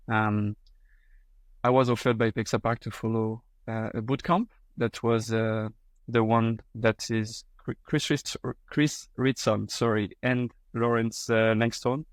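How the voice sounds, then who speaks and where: A man's voice: medium pitch, dry recording. A man in his thirties with a French accent, a guest on a podcast interview, talking with frequent fillers and restarts. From France. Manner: hesitant, many fillers and restarts.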